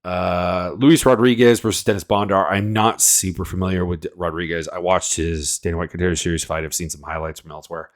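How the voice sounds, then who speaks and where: A man's voice: low voice, fairly even intonation, a little fast, few pauses. A man with a cold, sniffling as he rambles through casual, opinionated fight predictions full of fillers. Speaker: MMA analyst host.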